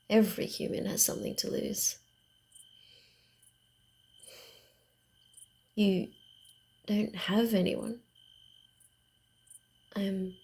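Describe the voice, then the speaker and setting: A woman voicing a sly, guarded, secretive female vampire in a hushed, theatrical and deliberate ASMR roleplay style. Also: medium pitch, heavily acted. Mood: hesitant, guarded.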